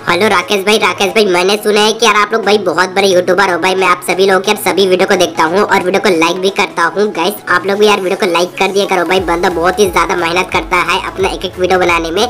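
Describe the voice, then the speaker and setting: Man as a childlike high voice-changer fan. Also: flat delivery, fast, few pauses, sharp tone. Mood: chatty. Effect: voice changer, raised to a child's voice. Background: background music.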